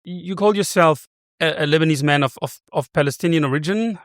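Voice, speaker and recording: A man who hosts a podcast, presenting a scripted introduction and then interviewing a guest in a clear, articulate way. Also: fairly high pitch, a little fast, no echo, clean studio sound. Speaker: podcast host.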